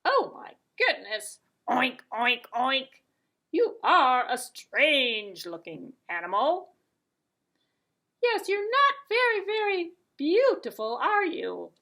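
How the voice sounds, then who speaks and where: A woman's voice: sits high, huge pitch swings, slow, vocal fry, pushed and bright, pitched above the performer's own voice, full character voice. A woman voicing mocking farmyard animals, a pig and a haughty cow, in a storybook puppet show. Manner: exclaiming, scornful.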